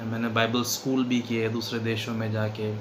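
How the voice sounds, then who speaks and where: A man of 39 with an Indian accent gives an unscripted religious testimony, halting often and switching between two languages. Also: fairly low pitch, completely flat delivery. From western Madhya Pradesh, India. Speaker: seminar participant giving a testimony. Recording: clearly noisy background.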